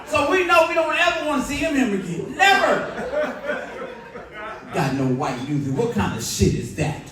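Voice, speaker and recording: A man in his sixties doing loud, profane, rambling stand-up comedy at a bar and grill. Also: very high voice, sing-song, normal speed, over a noisy crowd. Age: 60s. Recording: echoey club recorded from far off. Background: audience laughter.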